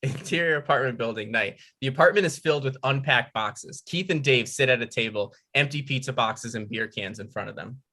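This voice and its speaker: A man's voice: medium pitch, brisk, few pauses, bright, forceful tone. A man reading stage directions as narrator at a script table read.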